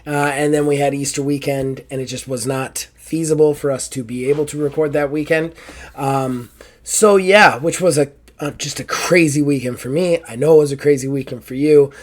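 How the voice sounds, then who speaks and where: A man hosting a casual podcast, hoarse and raspy after an illness, joking and swearing freely. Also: on the high side, fairly even intonation, normal speed. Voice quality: raspy, strained throat. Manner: joking, profane, self-mocking. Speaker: podcast host.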